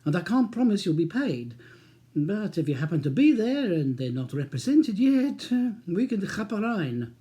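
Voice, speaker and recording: A man's voice: sits high, big pitch swings, moderate pace, warm tone, pitched above the performer's own voice. A man voicing a matey, wheedling East End solicitor in his sixties for an audiobook. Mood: matey, persuasive. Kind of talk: audiobook character dialogue.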